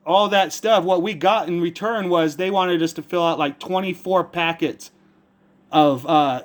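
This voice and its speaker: An American man speaking as a caller with a complaint, earnest and rambling, halting as he searches for formal words and often repeats himself. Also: on the high side. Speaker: caller making a complaint to a public agency.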